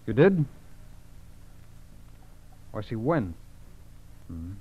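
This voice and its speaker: Clipped FBI agent, dull vintage radio. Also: male voice, fairly low pitch, big pitch swings, very slow, a little hiss or hum, exaggerated voice. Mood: brisk, alert. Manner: clipped, matter-of-fact. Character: businesslike, level-headed FBI special agent. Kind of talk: 1940s radio crime drama acting.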